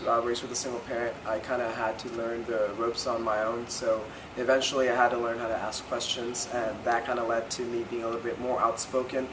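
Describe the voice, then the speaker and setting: Candid man from California, distant mic. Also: medium pitch. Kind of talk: interview answers. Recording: distant mic, background noise.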